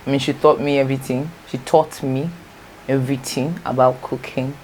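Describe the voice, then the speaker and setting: Young Nigerian woman, Igbo first language, vlogger; very low voice, chatty and rambling, faint background hum. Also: flat delivery, normal speed, a little mellow, poor audio. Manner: chatty, rambling, self-correcting. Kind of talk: casual storytime chat. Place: home, natural light.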